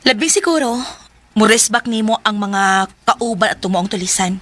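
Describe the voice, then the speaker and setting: A woman's voice: mid-range voice, moderate pace, a bit bright, close to an everyday voice. A woman playing an outspoken, curious young working woman in a Bisaya radio drama, with a blunt questioning manner and a Filipino accent. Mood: blunt, brisk.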